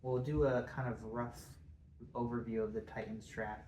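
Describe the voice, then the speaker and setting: A man's voice: medium pitch, steady tone, moderate pace, darkish tone. A man with a congested voice, chatting casually about football as a podcast co-host, hesitant with many ums. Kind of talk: casual sports chat. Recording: echoey room, faint noise.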